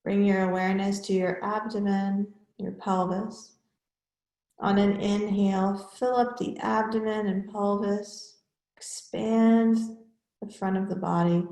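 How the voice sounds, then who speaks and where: A woman yoga teacher leading a guided relaxation in measured, instructional short phrases with pauses between them. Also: mid-range voice, little pitch movement, warm tone, reverberant, poor audio.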